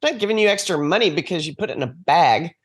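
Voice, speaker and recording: Female panelist, medium-low for a woman, lively intonation, wry casual debate. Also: quick pace, husky.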